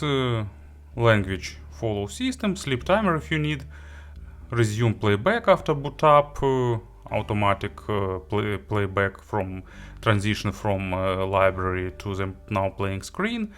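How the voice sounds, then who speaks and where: A man giving an unscripted product review as a gadget reviewer, speaking haltingly and often searching for words. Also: fairly low pitch, a bit bright.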